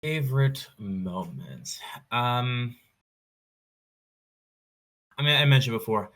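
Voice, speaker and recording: A man talking casually as an interview guest, in a measured, analytical way, thinking aloud as he goes. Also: mid-range voice, big pitch swings, a bit bright, poor audio. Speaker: podcast guest, competitive card game player.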